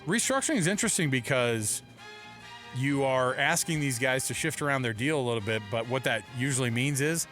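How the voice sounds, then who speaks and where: An American man co-hosting a sports talk show, casual and slangy, joking and excitable as he gives his commentary. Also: mid-range voice, a little fast, faint music behind. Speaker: sports radio co-host. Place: radio studio.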